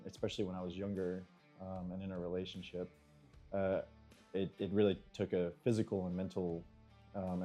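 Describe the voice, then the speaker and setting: An American man, an engineer, answering interview questions in a thoughtful, halting way and now and then clearing his throat. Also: sits low, dark tone. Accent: American English. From United States.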